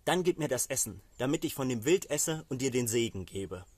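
A man's voice: medium pitch, expressive, a little fast, darkish tone, breathy edge, no echo. Man reading as a solemn old patriarch father. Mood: wary.

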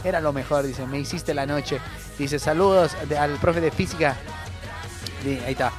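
A man's voice: on the high side, normal speed, music in the background. A young man with a Bolivian accent, hosting a radio show with casual, joking, informal comic talk. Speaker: radio host and comedian. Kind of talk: casual comic radio monologue, reading listener messages.

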